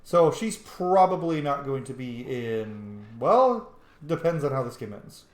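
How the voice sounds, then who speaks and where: Animated, chatty man doing game commentary. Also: mid-range voice, measured pace, reverberant, faint music behind. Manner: chatty, reacts aloud to the game. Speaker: gaming streamer.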